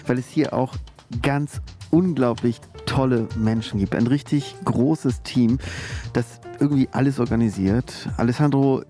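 A man presenting a radio show at mid pitch, fluent with the odd filler, faint music behind him. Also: a little slow, dark tone. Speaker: radio host.

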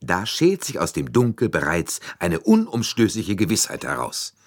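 A man voicing a self-assured, excitable and genial railway director who speaks emphatically in an audiobook. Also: sits low, moderate pace, pitched above the performer's own voice, exaggerated voice. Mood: triumphant. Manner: emphatic, pronouncing verdicts confidently. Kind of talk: audiobook character dialogue.

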